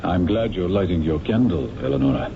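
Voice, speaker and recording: Villain: a suave plotter, low voice, tinny hissy radio. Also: male voice, lively intonation, a little fast. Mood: smooth menace. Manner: smooth, commanding, softly menacing. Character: suave secret society leader, cold and manipulative. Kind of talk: 1970s radio drama acting. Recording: tinny lo-fi radio broadcast with hiss.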